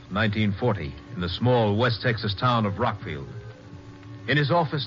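A man giving solemn, measured, scripted narration for a 1950s radio drama. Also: fairly low pitch. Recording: muffled old radio broadcast. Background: music.

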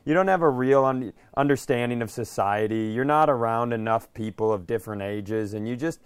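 A man's voice: mid-range voice, a little fast, clear, resonant tone, dry recording. A man co-hosting a podcast, rambling through stories and opinions in a wry, casual way. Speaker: podcast co-host. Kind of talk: casual comic chat.

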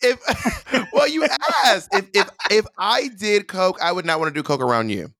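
Man, podcast co-host, higher bright voice, teasing, animated, loud banter. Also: normal speed, dry recording. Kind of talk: casual banter.

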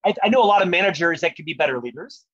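A man's voice: on the high side, lively intonation, rapid-fire, fairly bright tone, highs cut off. A man with a lifelong stutter, speaking earnestly and reflectively as an author being interviewed about leadership. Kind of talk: podcast interview conversation.

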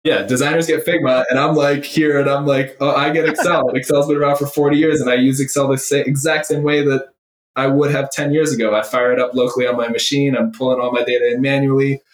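A man's voice: medium pitch, fairly even intonation, fast talker. A man speaking as an interview guest and startup executive, conversational yet emphatic and opinionated, building points through repeated phrases. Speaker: startup CEO and former finance leader, interview guest. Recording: roomy, echoey sound.

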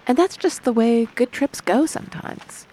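A woman's voice: a little high, moderate pace, warm tone, slight background noise, low-quality recording. A woman hosting a travel podcast, narrating a scripted story in a reflective, wry manner. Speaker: podcast host.

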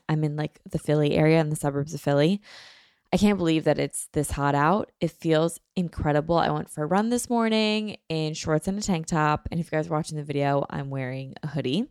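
Chatty woman host with gravelly fry. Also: low voice, a little mellow, no echo, studio quality. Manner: chatty and rambling, many likes and ums. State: a little nasal from allergies. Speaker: podcast host. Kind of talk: casual solo podcast chat.

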